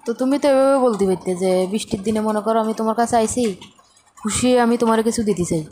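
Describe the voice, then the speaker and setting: Bangladeshi woman on a casual call, talks fast, kinda questioning, slight noise. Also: a little high, dark tone. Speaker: caller. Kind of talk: casual phone chat. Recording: faint noise, clicks, phone call.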